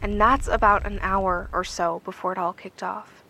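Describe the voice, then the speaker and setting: A woman's voice: mid-range voice, steady tone, normal speed, gravelly, bright, forceful tone, a little hiss or hum, low-quality recording. A woman playing a wry, pragmatic field investigator in a naturalistic audio drama, speaking informally. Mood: apologetic, weary.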